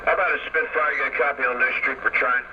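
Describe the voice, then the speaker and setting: A man's voice: mid-range voice, steady tone, rapid-fire. A man chatting casually on CB radio, giving folksy signal reports and calling out to other operators. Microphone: CB radio mic. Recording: noisy, distant, lo-fi CB radio reception.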